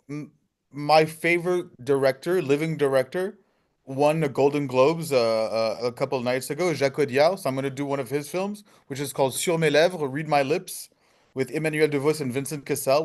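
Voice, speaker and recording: A man's voice: fairly high pitch, moderate pace, darkish tone. A man being interviewed, chatting casually and emphatically in fluent, slangy English. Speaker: film director being interviewed.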